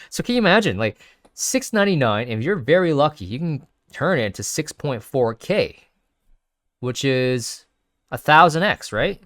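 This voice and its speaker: Man, sniffly, rambling casual host, smooth clear voice, dry close mic. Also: mid-range voice, a bit bright, dry recording. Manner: rambling, thinks aloud with many fillers and restarts. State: sniffly, sneezing. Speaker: crypto video host. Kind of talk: casual screen-share commentary.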